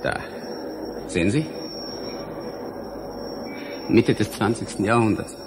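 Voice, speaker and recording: A man's voice: lowish voice, takes it slowly, noisy background. A man playing an earnest, blunt young scientist in a German radio drama, explaining things emphatically and impatiently. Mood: insistent.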